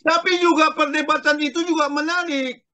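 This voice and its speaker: An older man in a casual panel discussion, reflective and quick to agree. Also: extremely high pitch, steady tone, bright, forceful tone.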